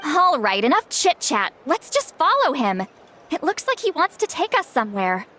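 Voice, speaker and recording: High-voiced, confident young woman leader. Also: very animated, moderate pace, pushed and bright, heavily acted. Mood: decisive. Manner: assured, articulate. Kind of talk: video game voice acting. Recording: background music under the voice.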